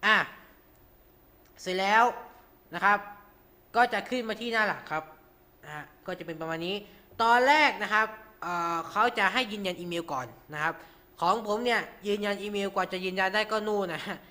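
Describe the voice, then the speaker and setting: A man's voice: high voice, normal speed, pauses often, sharp tone. A man hosting an informal tutorial, talking through each step with frequent polite particles. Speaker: radio show host.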